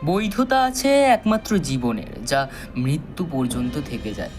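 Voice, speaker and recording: Higher-pitched male thriller storyteller. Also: big pitch swings, a little slow. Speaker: narrator. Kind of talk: scripted storytelling narration for a psychological thriller. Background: background music.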